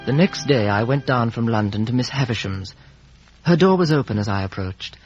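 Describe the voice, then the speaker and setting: An actor playing an earnest young gentleman who also narrates, in an old radio drama. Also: medium pitch, lively intonation, moderate pace, faint music behind, close to an everyday voice. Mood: reflective. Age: young adult. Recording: muffled vintage radio broadcast recording.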